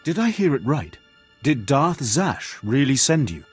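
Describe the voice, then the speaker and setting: A man playing a courteous, flattering Sith bodyguard in a video game, with a formal, smooth-talking manner. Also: medium pitch, a little slow, soft background music, full character voice. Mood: admiring, flirtatious. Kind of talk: scripted video game dialogue.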